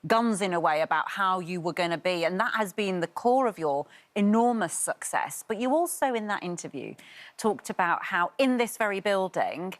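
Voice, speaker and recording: A woman with a Scottish accent hosting a morning TV interview, gently prompting her guest. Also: lowish voice, expressive, moderate pace. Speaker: TV host. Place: TV studio.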